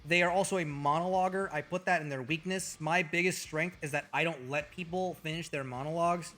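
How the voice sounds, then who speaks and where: A man streaming as a VTuber, joking and trash-talking with over-the-top, profane bragging, his voice a little hoarse. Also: on the high side, steady tone, a little fast, a bit bright. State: slightly hoarse. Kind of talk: comedic livestream banter.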